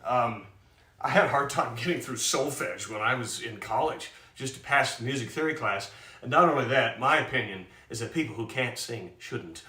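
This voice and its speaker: Loud, chatty man in a roomy space. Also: medium pitch, expressive, moderate pace. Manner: loud speaking voice; chatty and self-mocking, chuckling. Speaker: piano entertainer hosting a live stream. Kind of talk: casual chat between songs. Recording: roomy sound from a distant mic.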